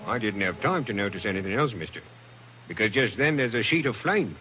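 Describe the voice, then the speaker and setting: Rough, plain-spoken sailor. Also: male voice, lowish voice, lively intonation, brisk. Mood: matter-of-fact recounting. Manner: colloquial storytelling, rough grammar. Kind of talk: 1940s radio acting. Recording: muffled vintage radio recording, faint noise.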